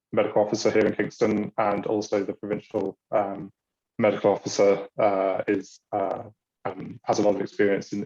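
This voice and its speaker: A British man walks new students through an online orientation, hesitating often with ums and uhs. His voice sits low and stays fairly level. The video call audio sounds dull and lo-fi. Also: dark tone. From Britain. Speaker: university international programs staff.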